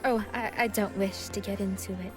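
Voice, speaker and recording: A woman playing a melodramatic, lovelorn, fretful woman with theatrical pauses in a comic radio play. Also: mid-range voice, fairly even intonation, music in the background, close to an everyday voice. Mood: flustered.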